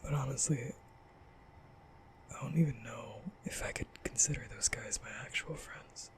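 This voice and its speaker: A man playing a nervous, caring young vampire in an ASMR roleplay, speaking softly and hesitantly with stammers in a breathy whisper. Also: medium pitch, normal speed, close to an everyday voice. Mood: sheepish, confiding. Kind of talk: ASMR roleplay, soft comforting boyfriend audio.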